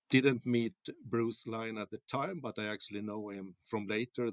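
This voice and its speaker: A low-voiced man speaks as an interview guest. His English is plainspoken and halting, with frequent fillers and restarts. The recording is dry, with almost no room sound. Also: no echo. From Sweden. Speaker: company CEO, interview guest. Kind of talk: conversational interview.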